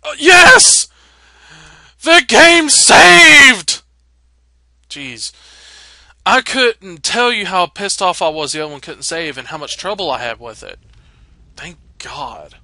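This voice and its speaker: Bright-voiced man doing casual gaming commentary. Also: high-pitched, animated, slow pace, long pauses, dry recording. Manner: casual, swearing. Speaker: let's play host. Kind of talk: casual gaming commentary, reading dialogue aloud.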